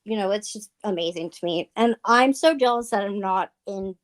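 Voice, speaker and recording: A woman's voice: medium pitch, normal speed. A woman guest in a casual livestream chat, talking in an earnest, rambling way full of fillers.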